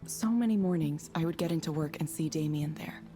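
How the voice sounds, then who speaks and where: An actress playing a concerned woman colleague in a visual novel, restrained, serious and direct as she quietly confronts someone. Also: low-pitched, fairly quick, faint music behind, close to an everyday voice. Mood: somber.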